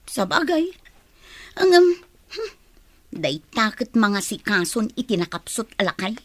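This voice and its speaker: A woman's voice: medium pitch, very animated, unhurried, a bit bright, no room sound. A woman playing a scheming, disdainful relative in a family radio drama. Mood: scornful, scheming.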